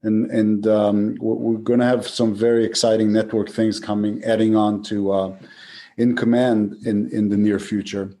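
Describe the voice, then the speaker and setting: Mellow-voiced male executive from Israel. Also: on the low side, flat delivery, normal speed. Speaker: data center company executive. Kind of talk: interview answers.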